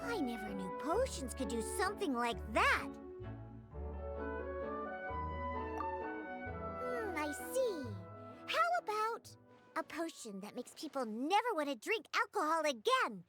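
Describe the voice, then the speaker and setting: A woman's voice: sits high, big pitch swings, normal speed, bright, forceful tone, exaggerated voice. A woman playing a feisty little girl bartender in a video game, scheming and indignant in a dramatic way. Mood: scheming, disappointed. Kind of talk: video game cutscene voice acting. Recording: game music behind the voice.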